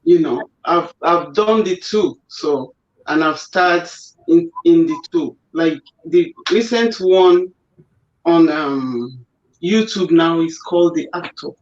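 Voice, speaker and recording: Slow-speaking woman guest. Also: lowish voice, a little mellow. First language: Yoruba. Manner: hesitant, with fillers and restarts. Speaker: guest, actress and presenter. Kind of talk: casual video-call interview. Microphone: remote call audio. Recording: roomy, dull remote call audio.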